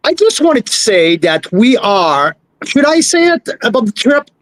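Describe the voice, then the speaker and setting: A man co-hosting a wine club livestream on his phone, giving an eager sales pitch in English with frequent grammar slips. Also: very animated, unhurried, a bit bright, dry recording. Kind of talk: livestream chat.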